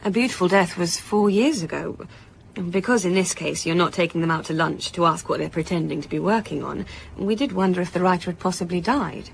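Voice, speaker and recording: Casting: publishing executive, female, gently deep voice, dry office humour, conversational audio-drama delivery. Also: fairly quick, gravelly, a little hiss or hum, rough recording. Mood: candid, dry. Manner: wry, hesitant, conversational. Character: chatty, wry female publishing executive, candid and joking. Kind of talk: naturalistic radio drama acting.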